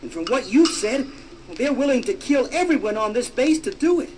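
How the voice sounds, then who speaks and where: An actor playing a brave, streetwise young man with excitable, slangy speech in an audio drama. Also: extremely high pitch, normal speed, low-quality recording. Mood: urgent, persuasive. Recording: faint noise behind.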